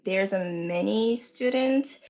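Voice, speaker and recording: Slow-speaking young Japanese-accented woman. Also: mid-range voice, darkish tone. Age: young adult. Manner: unhurried, searching for words. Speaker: guest. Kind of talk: casual podcast chat. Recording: dull lo-fi sound.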